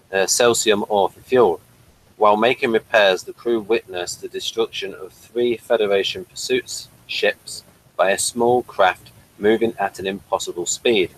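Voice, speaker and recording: A man's voice: fairly low pitch, a little hiss or hum, low-quality recording. A man with a slight speech impediment, hosting a casual review chat, reading a synopsis with hesitant fillers and self-corrections. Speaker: podcast host reading the synopsis.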